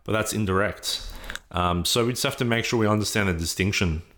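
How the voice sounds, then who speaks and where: A man's voice: fairly low pitch, fairly quick, fairly bright tone. A man being interviewed, explaining his views patiently and often checking in with "right?". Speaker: interview guest. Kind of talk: earnest conversational explaining.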